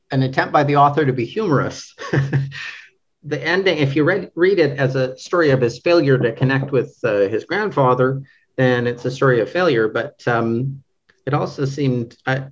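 A man speaking as an interview guest, a translator discussing a book casually, in a halting way, rephrasing as he goes. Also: mid-range voice, muffled recording.

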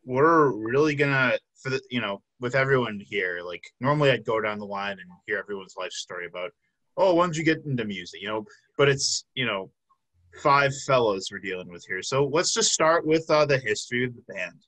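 A man's voice: mid-range voice, animated, brisk, bright, forceful tone, no echo, rough recording. A man in his twenties from the Chicago suburbs, hosting a casual interview with a band and rambling through lots of fillers. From south suburbs of Chicago. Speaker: podcast host.

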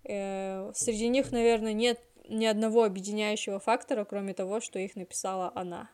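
A woman co-hosting a podcast, talking calmly and evenly about a book. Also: mid-range voice, a little fast, clean tone. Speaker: podcast co-host. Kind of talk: casual book discussion.

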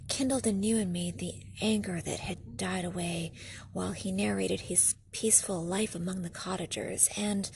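A woman's voice: medium pitch, gravelly, a little mellow, slight background noise, rough recording. A woman hosting a story podcast, chatting informally and narrating with theatrical delivery. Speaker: podcast host and reader.

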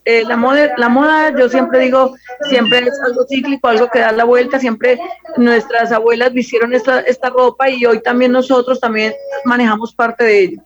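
A Colombian woman, a fashion designer, giving spontaneous answers as an interview guest over a dull, lo-fi connection. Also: a little high.

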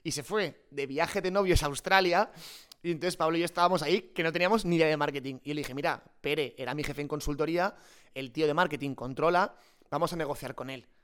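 A man's voice: a little high, fast, a bit bright, clear, resonant tone. A man from Spain with a Peninsular Spanish accent, telling stories informally and colloquially as a podcast guest. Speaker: podcast guest, startup co-founder. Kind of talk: improvised conversational interview.

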